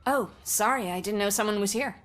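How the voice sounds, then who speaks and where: A woman's voice: medium pitch, a little fast, a bit bright, close to an everyday voice. A woman playing a breezy, cynical commercial actress in a video game, talking in a wry conversational way. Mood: wry, casual. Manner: wry, casual.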